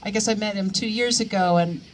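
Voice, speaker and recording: A woman in her sixties giving a memorial tribute to a coworker, speaking haltingly with many pauses and fillers. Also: mid-range voice, a little hiss or hum, poor audio. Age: 60s. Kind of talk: memorial remarks.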